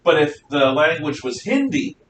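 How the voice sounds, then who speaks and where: A man lecturing a class as a history teacher, conversational, animated and emphatic in his explanations. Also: moderate pace. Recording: noisy rumbling room, distant mic.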